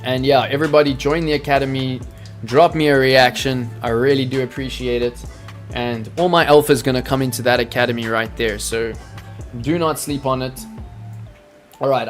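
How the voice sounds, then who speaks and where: A man hosting a live crypto trading stream, talking to his chat in a hyped, salesy way. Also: mid-range voice. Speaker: crypto trading livestream host.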